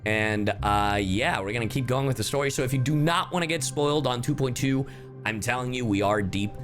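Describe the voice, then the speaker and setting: A man's voice: mid-range voice, brisk, fairly bright tone. Casual male game streamer over faint music. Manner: casual, addresses audience with slang and swearing. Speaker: streamer and voice actor. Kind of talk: live game reaction, casual chat with viewers.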